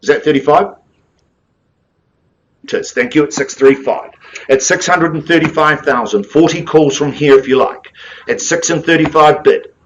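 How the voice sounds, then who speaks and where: Male auctioneer, New Zealand accent, higher-pitched, booming, rhythmic bid-calling patter. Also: moderate pace, long pauses, lo-fi sound, a little hiss or hum. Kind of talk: live property auction calling. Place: auction room with bidders present.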